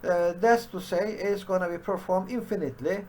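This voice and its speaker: Man, middle-aged, halting with many fillers, faint background hiss, home study webcam. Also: high-pitched, a little mellow. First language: Turkish. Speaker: programming teacher. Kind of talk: screen-share coding tutorial.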